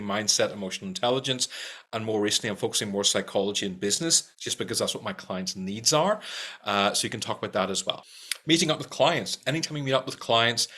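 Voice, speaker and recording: A man's voice: lowish voice, bright, forceful tone. A middle-aged man with a strong Irish accent, teaching practical tips in an upbeat talk to camera and repeating key points for emphasis. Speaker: sales trainer.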